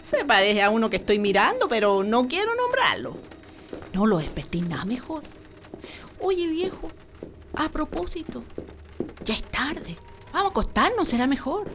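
High-pitched, anxious Chilean country wife. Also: female voice, very animated, normal speed, long pauses. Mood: tender, homely. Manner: colloquial, exclamatory country speech. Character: anxious, superstitious, bossy rural wife. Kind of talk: radio drama acting. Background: prominent music.

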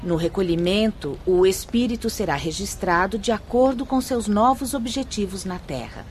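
An actress playing a wise, gentle spirit teacher in a Brazilian radio drama, speaking formally and with measured, didactic care. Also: female voice, mid-range voice, slight background noise, lightly acted. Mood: solemn, instructive. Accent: Brazilian Portuguese. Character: wise, gentle woman spirit instructor explaining afterlife procedures.

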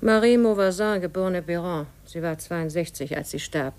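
A woman's voice: on the low side, normal speed, a little mellow, lightly acted. An actress playing a blunt, resentful mother of a large family in a 1950s German radio drama. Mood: blunt, matter-of-fact. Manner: blunt, resentful, matter-of-fact. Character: embittered, blunt mother of seven, poor widowed-sounding cousin.

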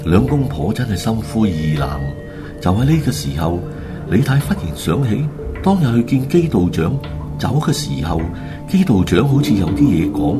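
A man's voice: on the low side, sing-song, normal speed, over music. A man narrating a radio drama in a dramatic storytelling style.

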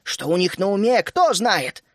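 A man voicing a bossy, hot-tempered female gnome who scolds and shouts. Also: high-pitched, expressive, moderate pace, dry recording, studio quality. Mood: furious. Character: stern bossy female gnome housewife, fiery.

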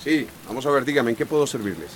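A harried, middle-aged small-town mayor in a radio soap acted by a man. His intonation is very animated and he sounds dutiful. A faint hiss sits behind him. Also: mid-range voice, fast talker, lightly acted. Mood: patient, reassuring. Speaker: radio drama actor. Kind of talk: radio soap opera acting.

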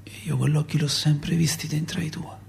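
A man's voice: a little high, little pitch movement, vocal fry, a little hiss or hum. Emotive Roman father in radio drama. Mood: grieving, tender. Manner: colloquial, emotive, halting storytelling. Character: grieving working-class Roman father recalling a street killing. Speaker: radio theatre actor.

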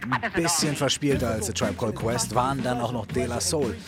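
A man's voice: mid-range voice, brisk. A man co-hosting a radio show, chatting casually in slangy, colloquial phrasing. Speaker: radio co-host. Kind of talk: casual chat. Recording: loud music behind the voice. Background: music.

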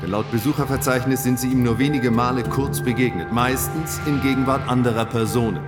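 An actor playing a skeptical, exasperated defence lawyer, formal and insistent, in a German radio drama. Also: male voice, medium pitch, steady tone, brisk, over loud music, close to an everyday voice.

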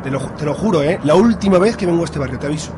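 A man's voice: on the high side, lively intonation, racing, noisy background, natural voice. An actor playing an impatient, snobbish middle-aged father in an amateur radio drama. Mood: grumbling. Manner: grumbling, impatient.

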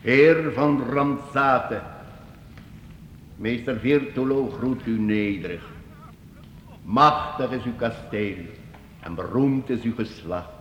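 Slow, florid medieval minstrel. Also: male voice, mid-range voice, fairly bright tone, lo-fi sound. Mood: grand, flattering. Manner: florid, declamatory, deferential. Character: grandiloquent wandering medieval minstrel, flattering and humble. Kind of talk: radio drama acting. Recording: echoey, noisy old radio play recording.

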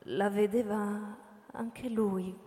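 A woman's voice: mid-range voice, steady tone, very slow, dark tone. A woman narrator reading a scripted dramatic story for radio. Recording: reverberant hall, music behind. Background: music.

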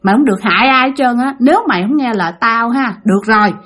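Woman voicing a firm old exorcist, high-pitched. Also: fast, a bit bright. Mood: stern warning. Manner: insistent, reasoning then sternly warning. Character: older male spirit master, firm exorcist.

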